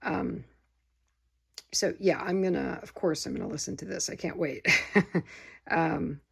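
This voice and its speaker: A tired woman with a gravelly voice, rambling casually about her record haul in a home vlog. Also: lowish voice, measured pace. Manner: rambling, many fillers and restarts. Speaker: vinyl record vlogger. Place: home room with window open. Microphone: phone camera.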